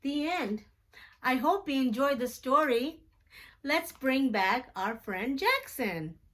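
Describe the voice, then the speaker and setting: A woman reading a picture book aloud to children slowly, in a sing-song, emphatic storytelling manner. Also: sits high, animated, fairly bright tone.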